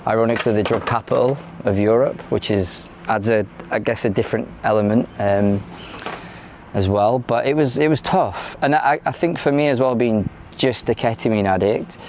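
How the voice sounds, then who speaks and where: A man in his thirties with a London English accent talks candidly in an interview, his voice sitting fairly low. He speaks plainly and openly, pausing and restarting often as he gathers his thoughts. A faint hum of noise sits behind him. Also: a little mellow. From Ireland. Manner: frank and plain-spoken, with frequent hesitations and restarts. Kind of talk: candid personal testimony.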